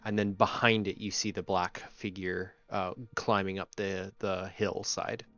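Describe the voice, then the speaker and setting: Male game master, low register, improvised halting narration, music underneath, dulled audio. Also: level tone, fairly bright tone, dry recording. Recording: muffled audio over background music.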